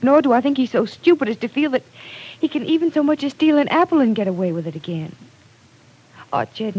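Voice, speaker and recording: A woman's voice: mid-range voice, mellow, husky, rough recording. An actress playing an earnest, persuasive young businessman's daughter in an old radio drama, pleading and insistent. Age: young adult.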